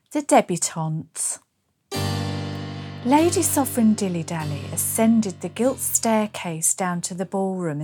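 A woman's voice: lowish voice, a little mellow. A woman giving a scripted narration of a comic period romance.

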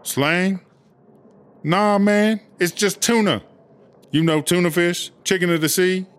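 A man's voice: high voice, fairly even intonation, takes it slowly, clean tone. A man playing a swaggering, streetwise female prison inmate in a comedy audio drama, cocky, slangy and offhand. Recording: loud music under the voice.

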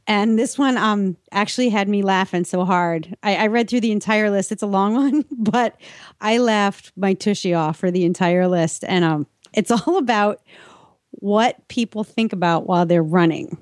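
A woman's voice: mid-range voice, moderate pace, no echo, clean studio sound. A woman co-hosting a show, speaking in a wry, informal, comedic way. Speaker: podcast co-host. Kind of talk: chatty introduction and comedic list reading.

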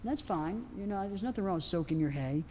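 A soft-spoken woman answering questions on a live panel, rambling with lots of fillers and restarts. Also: medium pitch, lively intonation, fast talker, a little hiss or hum. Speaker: equine nutrition expert answering questions. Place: outdoor event festival.

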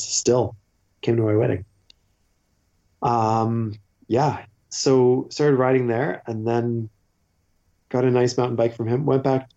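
Rambling Canadian man with a dark voice. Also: medium pitch, highs cut off. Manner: rambling, self-interrupting, with frequent fillers and restarts. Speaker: podcast guest; teacher. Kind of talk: casual conversational storytelling.

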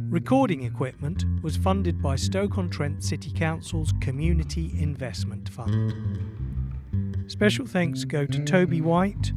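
A man reading scripted credits as an announcer. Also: on the high side. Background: loud plucked guitar music.

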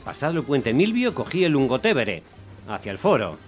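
Man acting an animated, hot-tempered cab driver. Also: mid-range voice, a little fast, slightly rough, soft background music. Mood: grumbling narration. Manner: animated, exasperated, sardonic. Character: hot-tempered, grumbling male Roman taxi driver telling his story. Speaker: narrator and lead character. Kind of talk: dramatised first-person radio storytelling.

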